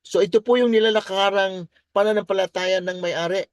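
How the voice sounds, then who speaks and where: A Filipino man, a native Tagalog speaker, presents in a deliberate preaching style, repeating key words and switching between English and Tagalog. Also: sits high, steady tone, no echo. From Philippines. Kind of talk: faith-based business presentation, preaching.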